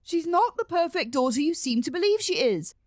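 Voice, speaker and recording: A woman's voice: very high voice, sing-song, brisk, no room sound, studio quality. A woman playing a resentful, scornful stepmother arguing in a horror radio drama. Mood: angry, bitter. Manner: scornful, argumentative. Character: bitter, exasperated stepmother, sharp and resentful.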